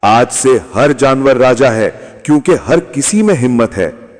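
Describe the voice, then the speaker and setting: A man's voice: medium pitch, animated, unhurried, a little mellow, long reverb tail, close to an everyday voice. A man voicing a proud, lonely lion king with a commanding manner in an animal storybook tale. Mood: resolute.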